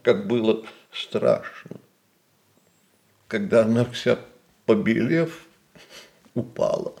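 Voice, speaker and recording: An actor playing a gentle, grieving middle-aged son in a radio play, hesitant and tender. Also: male voice, lowish voice, slow pace, vocal fry, faint music behind. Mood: sorrowful. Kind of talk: Russian radio play acting.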